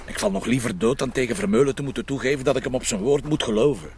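An actor with a Flemish accent playing a wry, blunt police commissioner in a crime drama, sardonic and colloquial. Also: male voice, medium pitch, quick pace, natural voice.

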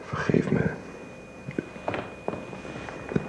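An actor in a Dutch radio play voicing a devoted son and father, gentle but firm, halting when moved. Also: rough recording. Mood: pained. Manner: halting, repeats words when emotional. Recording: faint background noise.

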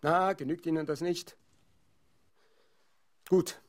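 A man's voice: fairly high pitch, steady tone, slow. An actor playing a flustered author in a radio play, huffy and ornate in his speech. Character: flustered male author, mocking, indignant, reluctantly polite. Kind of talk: theatrical radio play acting.

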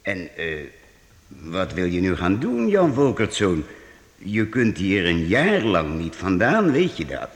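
An actor in a Dutch radio play playing a kindly man of authority, benevolent and deliberate in his way of talking. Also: sits low, wildly swooping pitch, full character voice. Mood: kindly, curious. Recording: long church-like reverberant hall.

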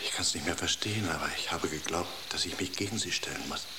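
An actor playing a dreamy poet enraptured by an inner voice in a radio drama, speaking in a hushed, reverent tone often near a whisper. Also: male voice, deep voice, quick pace, background noise, close to an everyday voice. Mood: hushed rapture.

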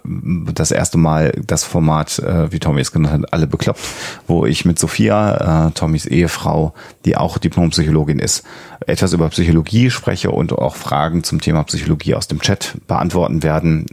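A man speaks as a podcast co-host in a very deep register with plenty of vocal fry. His delivery is informal and directed at the listeners. The studio sound is very dry. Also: darkish tone, no echo. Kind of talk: casual podcast chat with listener announcements. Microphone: headset.

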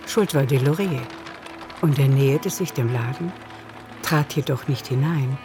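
A narrator tells a literary story in a radio play at a medium pitch. The scripted prose is carried along with music behind it. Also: a little slow.

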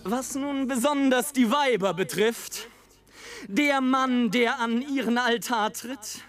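High-pitched sardonic airship traveler, radio play. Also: male voice, takes it slowly, a bit bright, soft background music, full character voice. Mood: mocking, ironic. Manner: ironic, declamatory, theatrical. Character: sardonic male airship traveler narrating his journey. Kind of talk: literary radio play monologue.

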